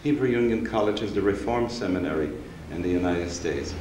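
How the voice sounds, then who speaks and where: Older man lecturing in a roomy hall. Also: sits low. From Austria. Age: 60s. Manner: measured, reflective, unhurried storytelling. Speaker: rabbi and lecturer. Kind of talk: public lecture. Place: synagogue hall, seated on stage before an audience. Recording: roomy hall recording with faint hiss.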